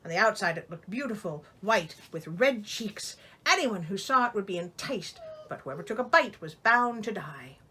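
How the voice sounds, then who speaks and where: Lively, bright-voiced sarcastic woman storyteller. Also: mid-range voice, normal speed, slightly rough. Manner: sarcastic, theatrical, exasperated commentary. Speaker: storyteller, host of a reading show. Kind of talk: storybook reading with sardonic comic asides.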